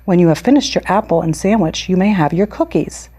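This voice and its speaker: A woman teacher from a picture book addresses a small pupil, as a woman narrator reads her. Her voice is low and relaxed. She is kindly, firm and clear about what is allowed. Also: fairly quick. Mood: firm, calm. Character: firm, kindly female teacher of young children. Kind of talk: picture-book read-aloud.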